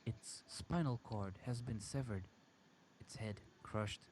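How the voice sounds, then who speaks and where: Slow-reading man over faint background noise. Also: fairly low pitch, darkish tone. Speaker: amateur story reader. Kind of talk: dramatic reading of a first-person horror story.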